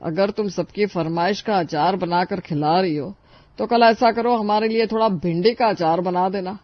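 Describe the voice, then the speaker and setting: Brief: man voicing a hearty old father-in-law, high for a man, dull mic. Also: moderate pace, dry recording, natural voice. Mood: jovial. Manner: hearty, teasing. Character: older father-in-law, jovial and fond of food. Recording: dry, slightly muffled studio voice.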